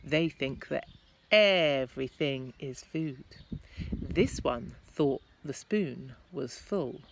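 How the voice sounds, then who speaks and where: A woman's voice: a bass voice, expressive, slow. A woman reads a storybook to children expressively, adding chatty asides and questions to young listeners. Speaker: primary school staff member reading to children. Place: at home, apparently outdoors in garden. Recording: clear background noise, amateur home recording.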